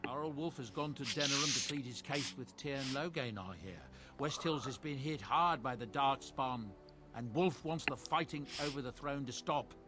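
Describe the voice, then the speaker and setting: A man's voice: mid-range voice, normal speed, close to an everyday voice. Man acting world-weary game merchant over music. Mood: matter-of-fact. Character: male travelling merchant sharing rumors, wry and world-weary. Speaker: merchant character in a fantasy video game. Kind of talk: video game dialogue acting. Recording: game dialogue with music underneath.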